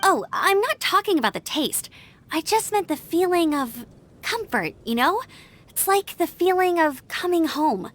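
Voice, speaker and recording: An actress playing a cheerful young woman scout, warm and nostalgic, in a video game cutscene. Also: extremely high pitch, big pitch swings, measured pace, slight background noise, exaggerated voice. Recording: game music under the voice.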